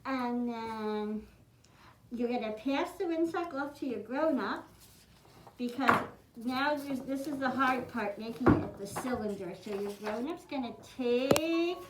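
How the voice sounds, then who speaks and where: A high-pitched woman's voice, recorded in a roomy, echoey space with noise in the recording. She guides children through a craft one step at a time, in simple words and with an encouraging manner. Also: measured pace. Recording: roomy echo, clearly noisy, clicking.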